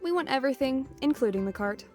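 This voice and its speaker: Woman as a high-voiced cocky adventurer. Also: expressive, a little mellow, faint music behind. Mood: shrewd, firm. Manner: bold, brisk, swaggering. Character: brash, cocky female adventurer, shrewd hard bargainer. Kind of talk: fantasy audio roleplay acting.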